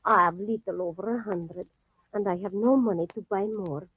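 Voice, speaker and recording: An actress playing an anxious, humble widow with a Spanish accent in an old-time radio drama. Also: female voice, mid-range voice, normal speed, breathy edge, natural voice. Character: Maria, humble anxious Mexican widow and rancher. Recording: thin tinny old radio recording.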